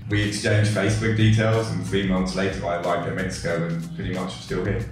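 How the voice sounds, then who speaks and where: English man in his forties, very low voice, rambling with fillers, echoey room away from the mic, music behind. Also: brisk, distant mic. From England. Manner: relaxed, rambling with many fillers and restarts. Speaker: guest, tech company co-founder. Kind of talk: casual interview.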